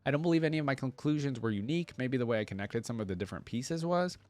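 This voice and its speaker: A man's voice: medium pitch, expressive, racing, darkish tone. A man hosting a fan reaction video, talking informally and reading story text aloud. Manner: informal, conversational.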